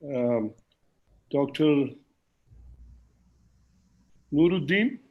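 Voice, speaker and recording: A man's voice: on the high side, animated, extremely slow. A man moderating a webinar, briefly passing the floor between speakers. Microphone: video call. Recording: distant, dull call audio.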